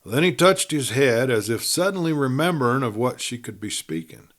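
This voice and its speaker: A man narrating a scripted western story in a measured, dramatic manner. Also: medium pitch, lively intonation, fairly bright tone. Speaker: audiobook narrator.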